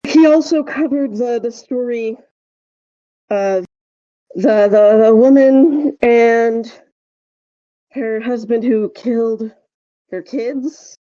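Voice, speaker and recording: A woman co-hosting a podcast in casual chat, telling things in a rambling, halting way. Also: fairly high pitch, warm tone, no room sound, dull audio. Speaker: podcast co-host.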